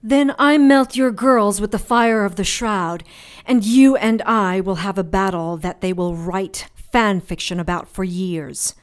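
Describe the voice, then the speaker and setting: A woman playing a defiant, sharp-tongued heroine in an audio drama, sarcastic and deliberate in manner. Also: medium pitch, smooth, lightly acted. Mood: cool resolve. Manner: sarcastic, deliberate, bargaining coolly.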